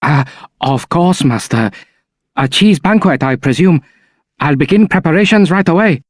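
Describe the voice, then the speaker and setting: A man's voice: a little high, animated, normal speed, no room sound. A man playing an eager, respectful household servant in a fantasy video game. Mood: deferential.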